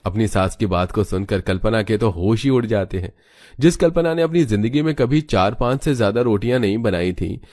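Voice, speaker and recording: Adult man, low pitch, scripted storytelling, narrator. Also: a little mellow, no room sound, clean studio sound.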